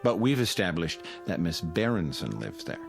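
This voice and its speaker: Calm institutional psychiatrist, low voice, lilting patronizing phrasing, radio drama, music underneath. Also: male voice, vocal fry, heavily acted. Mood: veiled threat. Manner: measured, patronizing, intellectual. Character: calm, condescending male psychiatrist at a mental institution. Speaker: actor.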